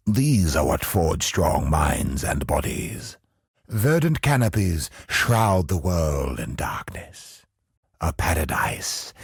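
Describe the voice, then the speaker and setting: An actor voicing a brooding, grandiose godlike villain in a video game, husky and gravelly, with an ominous, deliberate delivery. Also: male voice, low voice, dry recording, studio quality, full character voice. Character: godlike male cosmic villain, brooding, grandiose, obsessed with perfection. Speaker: video game boss character.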